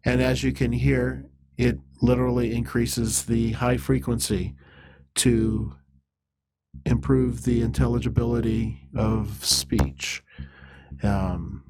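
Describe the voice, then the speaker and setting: A man presenting an instructional software demo in a halting, methodical, step-by-step way. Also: mid-range voice, level tone.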